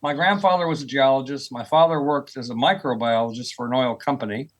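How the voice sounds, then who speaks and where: An American man in his sixties with a medium-pitched voice speaks as a guest in an interview. He explains himself informally, like a professor chatting, and often checks in with the listener. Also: brisk. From United States, rural Oklahoma. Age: 60s. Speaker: guest, earth sciences professor. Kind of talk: conversational interview answers.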